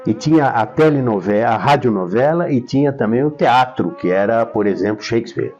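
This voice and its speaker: A man's voice: mid-range voice, lively intonation, a little fast, darkish tone. An older man with a Brazilian Portuguese accent telling stories as an interview guest, enthusiastic and emphatic, often repeating names. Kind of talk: conversational storytelling interview. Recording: slightly dull sound, faint music behind.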